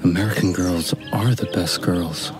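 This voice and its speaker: An actor playing a courteous young American gentleman in a radio drama, polite, a little hesitant and gently teasing. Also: deep voice, steady tone, normal speed, music in the background, lightly acted. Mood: amused, teasing.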